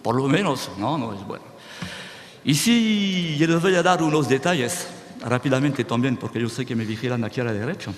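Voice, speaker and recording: A man's voice: mid-range voice, moderate pace. Man speaking to an audience in a hall. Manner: animated, restarts words, checks with "¿sí?". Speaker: speaker at a conference. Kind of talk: lecture-style talk to an audience. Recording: reverberant hall, faint noise.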